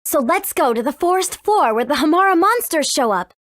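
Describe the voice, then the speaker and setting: High, lively, spirited teenage girl. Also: brisk, no room sound. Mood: confident. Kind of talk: video game character acting.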